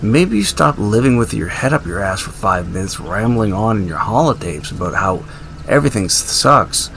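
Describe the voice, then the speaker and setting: An actor playing a blunt, earnest, exasperated wasteland survivor and tinkerer in an audio drama, often swearing. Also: male voice, lowish voice, big pitch swings, moderate pace, vocal fry, a bit bright, full character voice. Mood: angry. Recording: noisy ambient sound effects behind.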